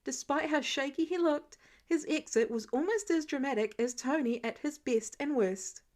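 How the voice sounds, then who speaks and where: A woman reading fiction aloud as an expressive, scripted audiobook-style narrator. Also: sits high, normal speed. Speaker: podfic reader.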